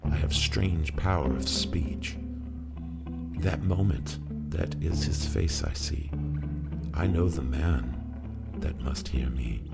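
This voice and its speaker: A man giving a theatrical, solemn and deliberate dramatic recitation of a poem, with music. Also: extremely low pitch, gravelly. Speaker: poetry reader. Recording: music played behind the voice.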